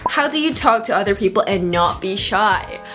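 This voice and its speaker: Bubbly teen girl, casual vlog Q&A, mid pitch, music bed. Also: animated. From South Korea. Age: teenager. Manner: bubbly, self-mocking, quick asides. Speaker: YouTuber answering viewer questions. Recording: music playing under the voice. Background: background music.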